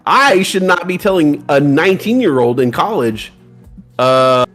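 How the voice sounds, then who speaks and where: A man in his forties co-hosting a casual livestream chat, lively and acting out voices of people he quotes. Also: unhurried, soft background music. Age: 40s. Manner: animated, acts out quoted voices with big pitch jumps. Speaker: livestream co-host.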